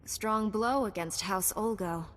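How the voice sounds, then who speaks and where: A woman playing a calm, dutiful knight heroine in a video game, formal and self-assured. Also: mid-range voice, slow, natural voice. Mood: composed, resolute. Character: composed, dutiful young female Jedi knight. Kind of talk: video game protagonist dialogue.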